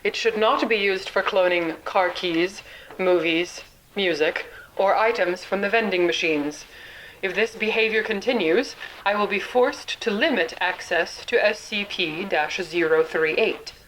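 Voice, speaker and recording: A woman's voice: mid-range voice, a little slow, fairly bright tone, a little hiss or hum, close to an everyday voice, poor audio. A woman playing a stern research doctor, firmly and emphatically admonishing staff in a scripted audio drama.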